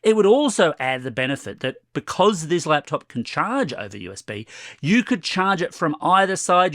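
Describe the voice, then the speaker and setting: A man in his forties talks through a laptop review from a room at home. His voice is a little hoarse and sits a touch high. His delivery is chatty and wry, with a grumble here and there, and the sound is dry and close. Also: bright, forceful tone, no echo. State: slightly hoarse. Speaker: tech reviewer. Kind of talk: casual product review to camera.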